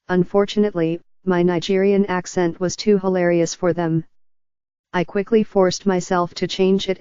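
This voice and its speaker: A text-to-speech voice of a woman reading forum posts aloud in an even, unvaried machine delivery. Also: on the low side, smooth, dry recording, dull audio. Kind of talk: synthetic narration of posts.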